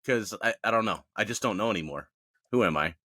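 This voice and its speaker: A man's voice: lowish voice, animated, fast talker, sharp tone, dry recording, clean studio sound. A man co-hosting a podcast, opinionated and self-mocking in casual chat. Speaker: podcast co-host.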